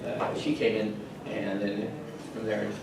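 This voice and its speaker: Man, 50s, halting and hesitant, many restarts, very echoey hall, distant mic. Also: on the low side, level tone, heavy background noise. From California, USA. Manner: halting, full of restarts and pauses. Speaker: resident steward, conference speaker. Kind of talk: personal story at a conference panel. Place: conference hall.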